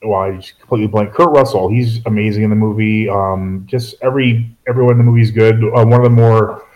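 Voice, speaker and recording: Low-voiced man chatting about movies. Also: fairly even intonation, quick pace, lo-fi sound. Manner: rambling, self-correcting, dry asides. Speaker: podcast co-host. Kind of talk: casual movie chat.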